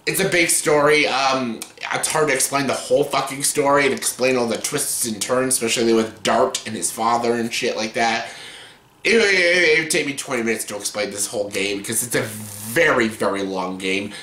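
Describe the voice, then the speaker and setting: Late-20s man, scratchy, bright and forceful, casual rambling vlogger, roomy echo. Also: mid-range voice, moderate pace. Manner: rambling, profane, filler-heavy. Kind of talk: casual top-ten countdown.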